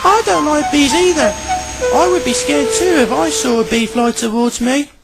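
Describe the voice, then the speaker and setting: A kindly little male engine from a children's tale, very high in pitch. He sounds friendly and sympathetic, with music loud behind him. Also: moderate pace, creaky, poor audio. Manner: cheerful, sympathetic.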